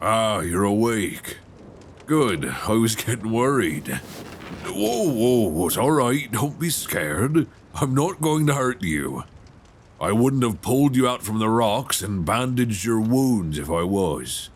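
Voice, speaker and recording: A man playing a gentle but probing, folksy 19th-century lighthouse keeper in an intimate ASMR suspense roleplay, soft-spoken, halting and reassuring, close on a binaural mic. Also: big pitch swings. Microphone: close binaural microphone. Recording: faint low rumbling background noise.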